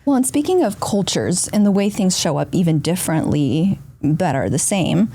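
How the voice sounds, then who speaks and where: A woman from Argentina joins a podcast as a guest and talks in long, reflective, flowing sentences. Her voice is lowish, gravelly and mellow. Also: lively intonation, normal speed. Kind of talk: casual podcast conversation.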